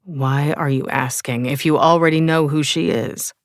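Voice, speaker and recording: A woman voicing a weary old male vampire who speaks tersely and grumbles, in a low voice. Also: fairly even intonation, normal speed, dry recording, studio quality, close to an everyday voice. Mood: gruff.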